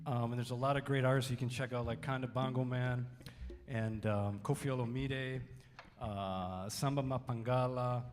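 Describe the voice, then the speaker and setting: A man's voice: medium pitch, fairly even intonation, normal speed. A man from Wisconsin, a music teacher and drummer, talking casually with lots of fillers at a college Q&A. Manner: casual, many fillers, friendly. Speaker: music faculty, band drummer. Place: college music hall, reverberant. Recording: reverberant hall.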